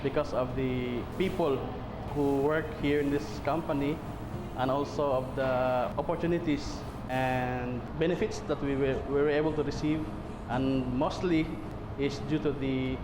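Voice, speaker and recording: A man, speaking fluently and in a measured way as an employee giving an interview testimonial. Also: medium pitch, fairly even intonation. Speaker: company employee. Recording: roomy with background noise.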